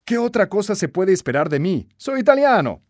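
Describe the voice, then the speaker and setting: Guy doing a hot-headed waiter in his fifties for an audiobook, loud, defensive, voice jumping up high. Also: sing-song, a little fast, no room sound, clean studio sound. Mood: exasperated. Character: hot-tempered Italian waiter of fifty-one, resigned to his nature.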